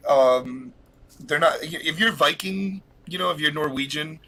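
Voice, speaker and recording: A man hosting a casual live podcast, talking excitedly and rambling with frequent laughs while fighting a cold. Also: a little high, measured pace, slight background noise, poor audio. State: has a cold. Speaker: podcast host.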